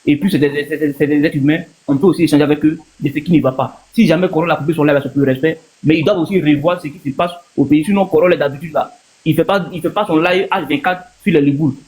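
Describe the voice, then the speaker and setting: Fast-talking Ivorian man, higher-pitched voice. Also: steady tone, darkish tone, low-quality recording. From Ivory Coast. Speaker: panel guest on a live stream. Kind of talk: casual opinion talk.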